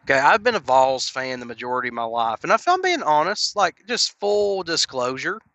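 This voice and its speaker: Young man with a cold, rambling casual podcast rant, bright tone. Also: on the high side, very animated, normal speed, no room sound, lo-fi sound. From Knoxville, Tennessee. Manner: rambling, filler-heavy, earnest. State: sniffly from a cold. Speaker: podcast host.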